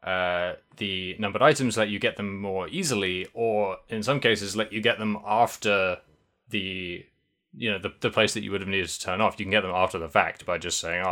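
Young man, podcast co-host, low voice, bright tone, rambling casual chat, self-correcting. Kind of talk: casual podcast chat about a video game.

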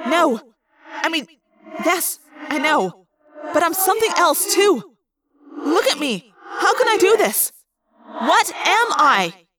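A woman's voice: very high-pitched, big pitch swings, takes it slowly, pauses often, over loud music, voice pushed up, full character voice. A woman voicing a frightened, confused and fierce teenage girl in an audiobook, urgent and demanding. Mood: desperate.

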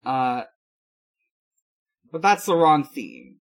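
Slow, halting man, slightly high voice. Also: animated. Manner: halting, hesitant delivery. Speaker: podcast host. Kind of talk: casual episode recap chat.

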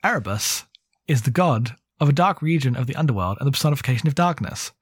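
A man co-hosting a podcast, talking through a book casually in a halting, rambling way full of asides. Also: mid-range voice, expressive, husky, dry recording. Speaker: podcast co-host. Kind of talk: casual book discussion with plot recap.

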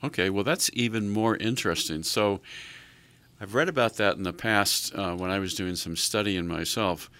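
A low-pitched man hosts an interview show. He sums up what his guest has said and follows it with leading questions. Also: moderate pace, sharp tone. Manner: restates and asks leading questions. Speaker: show host.

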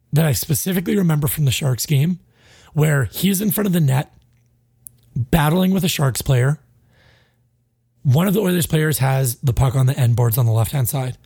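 Gravelly, husky man ranting about hockey. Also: on the high side, warm tone, no room sound, studio quality. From Canada. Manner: frustrated, blunt, swears freely. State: stuffy, sinusy. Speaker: podcast co-host. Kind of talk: casual sports podcast rant.

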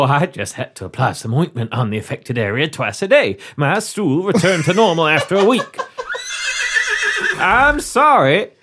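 A man's voice: fairly high pitch, noisy background. A man playing a blustering, panicky ambassador. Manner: blustering, panicky, slow and whiny. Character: bumbling cowardly male ambassador.

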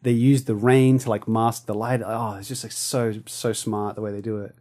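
A man's voice: on the low side, level tone, normal speed, a little mellow. A young man hosting a podcast, chatting casually and enthusiastically with lots of fillers. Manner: rambling, filler-heavy, enthusiastic. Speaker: podcast host.

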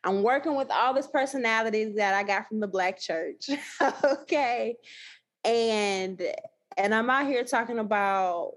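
A woman with a thick Texas accent, talking as a guest in a casual podcast conversation, animated and emphatic with frequent laughter. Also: medium pitch. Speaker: guest, new scholar.

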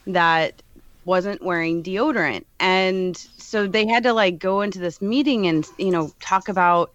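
A woman appearing as a musician guest on a podcast, retelling stories with animated enthusiasm. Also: on the low side, unhurried, dull audio. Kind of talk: casual storytelling.

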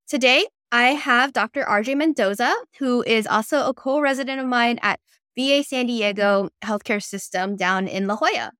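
A woman's voice: on the high side, normal speed, a bit bright, clean tone, dry recording, clean studio sound. A young woman hosting an interview podcast in a semi-casual way, often dropping "like" into her talk. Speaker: podcast host.

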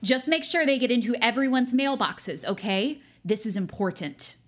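A woman playing a pious, bossy high school girl in an audio drama, earnest and insistent. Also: lively intonation, moderate pace. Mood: eager, insistent. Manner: earnest, insistent, self-righteous. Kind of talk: naturalistic audio drama acting.

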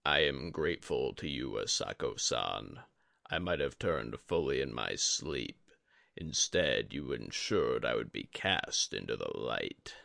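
A man voicing a solemn, resigned, tainted old sorcerer and teacher in a slow, grave, measured way for a fantasy audiobook. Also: a bass voice, very animated, creaky, bright, forceful tone, no room sound, clean studio sound, pitched below the performer's own voice, full character voice. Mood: measured, grateful.

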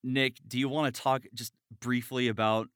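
A man's voice: mid-range voice, flat delivery, normal speed, fairly bright tone, smooth, dry recording, studio quality. A man hosting a show in a casual, chatty interview style. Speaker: show host.

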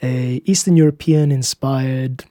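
Mellow-voiced man hosting a podcast, dry sound. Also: medium pitch, fairly even intonation, measured pace, dry recording. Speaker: podcast host and composer. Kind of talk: scripted podcast narration.

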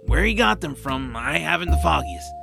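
A man's voice: on the high side, expressive, normal speed, vocal fry, music in the background. An actor playing a reluctant, complaining young male hero in a fantasy audio drama. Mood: conspiratorial. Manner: complaining, exasperated.

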